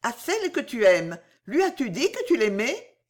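A woman's voice: on the high side, huge pitch swings, moderate pace, voice pushed up. A woman voicing a playful, coquettish young woman who teases with an ingenuous, familiar manner in a storybook reading. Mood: coaxing. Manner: teasing, insistent, familiar.

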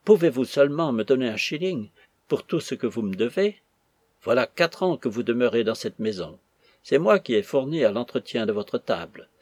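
A man's voice: medium pitch, animated, moderate pace, darkish tone, no echo, clean studio sound, close to an everyday voice. Man playing a plaintive older butler in despair. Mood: reproachful.

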